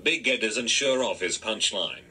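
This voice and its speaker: Very bright, rough robotic male narrator. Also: lowish voice, animated, measured pace. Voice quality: robotic synthetic text-to-speech. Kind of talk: scripted narration, reading stage directions.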